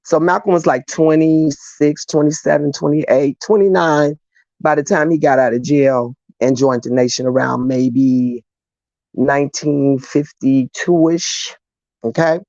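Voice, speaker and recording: Gravelly-voiced woman guest, muffled line. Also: low-pitched, steady tone, a little slow, dark tone, no room sound. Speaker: guest speaker. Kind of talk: conversational history telling.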